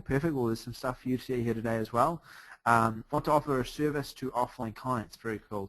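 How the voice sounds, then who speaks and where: A man's voice: medium pitch, a bit bright, dry recording, rough recording. A man from New Zealand with a New Zealand accent, presenting a live webinar in an upbeat, chatty way with plenty of fillers, speaking from a hotel room over a computer mic. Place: hotel room late at night.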